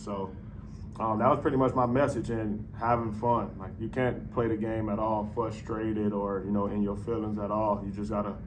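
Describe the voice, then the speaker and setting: A man's voice: lowish voice, background noise. A young man in his twenties answering reporters at a postgame press conference, speaking loosely with many fillers into a table mic. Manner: relaxed, many "you know" fillers. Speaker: professional basketball player. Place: arena press room. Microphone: table mic on stand.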